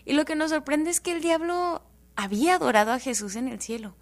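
High-voiced woman from El Paso, animated. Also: a little fast. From El Paso, Texas. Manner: animated, joking, warm testimony. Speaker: guest.

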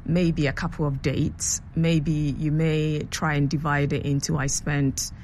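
Woman, deep voice, from london, chatty explanations, faint background hum. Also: level tone, unhurried, a little mellow. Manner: chatty, explanatory, many ums and you-knows. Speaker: app founder, guest. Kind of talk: radio interview answers.

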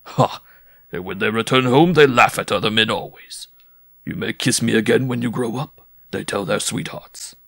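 A vain giant strongman, put on by a male reader, drawling slowly and full of himself. The voice is bright and gravelly, low-ish, with a dry close sound. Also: no echo. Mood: boastful. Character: boastful, sleepy giant circus strongman.

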